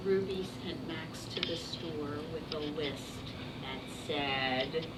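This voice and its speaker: A woman's voice: low-pitched, takes it slowly. A woman teacher reading a picture book aloud to young children in a classroom, encouraging and instructive as she talks to them. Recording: distant mic, very noisy classroom.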